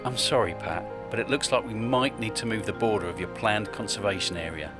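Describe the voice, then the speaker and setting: A man's voice: fairly low pitch, a little fast, music in the background, close to an everyday voice. A man playing a smitten, persuasive property developer in a radio drama. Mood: persuasive, flirtatious.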